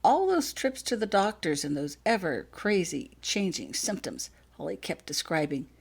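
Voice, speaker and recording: Woman reading as a measured, apologetic grown man. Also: on the low side, big pitch swings, vocal fry, slightly rough, heavily acted. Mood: earnest explaining. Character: calm, apologetic grown man explaining grave matters.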